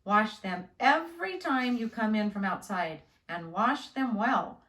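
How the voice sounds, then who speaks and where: A woman voicing a calm, reassuring father who patiently explains things to his child in a storybook. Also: mid-range voice, a little slow. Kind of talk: children's storybook read-aloud. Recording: roomy, echoing indoor sound.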